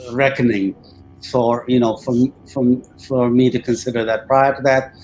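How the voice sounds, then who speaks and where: A man who grew up in India, speaking hesitantly with frequent pauses as a participant in a panel discussion. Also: mid-range voice, level tone, a little mellow, faint music behind, poor audio. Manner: hesitant, with many "uh" fillers and restarts. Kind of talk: personal reflection in a panel discussion.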